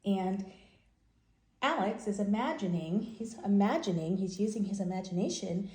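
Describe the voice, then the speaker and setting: A woman's voice: mid-range voice, normal speed, a little mellow, roomy sound. A woman hosting a children's read-aloud, telling the story and explaining it in simple, repeated phrases for young listeners.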